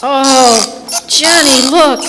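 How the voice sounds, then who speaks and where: Woman as slow, fearful radio-play heroine. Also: high voice. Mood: fearful, hushed. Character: timid, frightened female companion of a detective. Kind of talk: old-time radio ghost story acting on stage. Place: stage in a large reverberant room. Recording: noisy echoing live stage hall.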